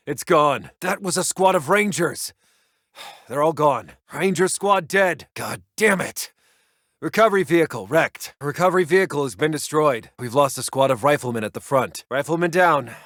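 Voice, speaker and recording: A battle-weary American military intelligence officer for a strategy game. His voice sits a little higher than most men's, with a clipped, soldierly delivery of grave field reports. The sound is dry, with no room around it. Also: a bit bright, no echo. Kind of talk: scripted military radio-report game voice lines.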